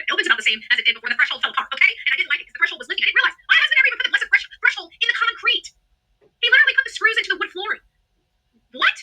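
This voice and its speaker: A woman livestreamer telling a personal story in a casual, rambling, animated and exasperated way with salty language. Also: high voice, rough recording.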